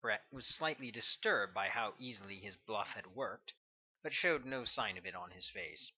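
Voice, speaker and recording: A man's voice: lowish voice, lively intonation, normal speed, slightly rough. A man narrating his own novel as a scripted audiobook. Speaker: author reading own audiobook. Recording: dull, lo-fi recording.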